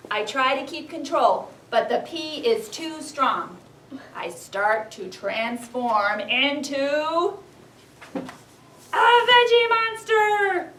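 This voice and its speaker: A woman teaching young children, reading a storybook aloud expressively and giving clear, animated instructions. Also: high voice. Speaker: first-grade teacher. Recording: echoey classroom, distant, background noise.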